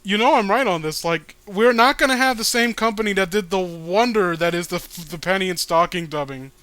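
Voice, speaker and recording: A man's voice: high voice, fairly quick, pushed and bright, smooth, no room sound. A man riffing casually as he hosts a comic read-along, profane, emphatic and argumentative. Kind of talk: casual riffing commentary with read-aloud dialogue.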